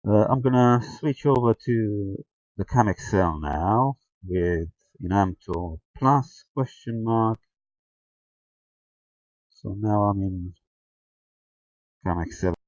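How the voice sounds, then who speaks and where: A man giving a casual hands-on tutorial narration, halting and thinking aloud as he goes. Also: lowish voice, animated, darkish tone, no room sound. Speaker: amateur radio video tutorial presenter. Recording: dull, lo-fi close sound.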